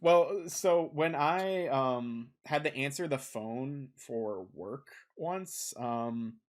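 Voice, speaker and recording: A man co-hosts a laid-back gaming podcast in an ordinary mid-range voice. He rambles and fills his pauses with ums. He is recorded close on a desk condenser mic at a home setup. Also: mid-range voice, animated. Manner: rambling, heavy on filler ums. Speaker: podcast co-host. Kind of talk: casual podcast chat.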